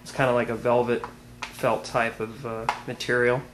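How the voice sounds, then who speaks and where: An American man giving an informal product demonstration as a video reviewer, plain-spoken and unhurried. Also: medium pitch, little pitch movement. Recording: clearly noisy amateur video sound.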